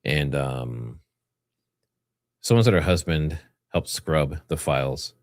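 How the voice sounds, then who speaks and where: A man's voice: a bass voice, takes it slowly, no echo, clean studio sound. A man hosting a livestream, giving informal, rambling commentary and partly reading from notes. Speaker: livestream host.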